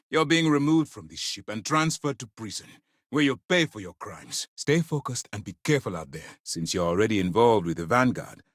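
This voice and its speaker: A man playing a stern, principled space navy commander in a video game, speaking in a formal, measured, authoritative way. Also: on the low side, no echo, clean studio sound. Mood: stern, angry, then firm. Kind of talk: scripted game dialogue.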